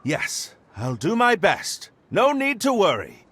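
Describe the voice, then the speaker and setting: A man's voice: high-pitched, wildly swooping pitch, takes it slowly, a bit bright, slight background noise, exaggerated voice. Flustered, jumpy, apologetic helper guy. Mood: flustered eager. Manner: flustered, stammering. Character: nervous, apologetic, eager-to-please male troublemaker turned helper. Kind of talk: video game cutscene voice acting.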